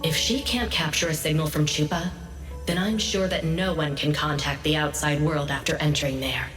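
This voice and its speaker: A woman playing a composed intelligence analyst in a video game, giving briefings in a measured, serious, informative way. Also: deep voice, steady tone, close to an everyday voice. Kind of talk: scripted game dialogue. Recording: echoey hall, distant, faint music behind.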